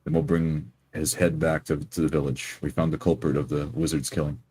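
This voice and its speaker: Man playing a very deep, grim adventurer. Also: flat delivery, a little fast, mellow, breathy edge. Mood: resolute. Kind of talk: tabletop roleplay in character.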